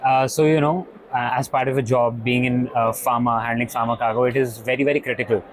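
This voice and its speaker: A man's voice: mid-range voice, steady tone, brisk, a little hiss or hum, poor audio. Male podcast host at a trade show. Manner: brief, scripted intro then short prompts. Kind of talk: interview questions. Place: exhibition hall.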